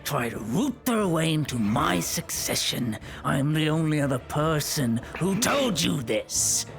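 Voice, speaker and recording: A man plays a paranoid, ruthless nobleman in a voice roleplay, slow and menacing, his pitch roaming widely as he drops into whispered threats. Soft music plays underneath. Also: very animated, creaky, heavily acted. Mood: angry. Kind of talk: voice roleplay in a virtual world.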